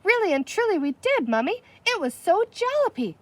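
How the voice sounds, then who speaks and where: A woman reading a children's storybook, voicing an excitable, boisterous little girl with a breathless, exclaiming manner. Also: extremely high pitch, normal speed, no room sound, voice pushed up. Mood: thrilled, breathless.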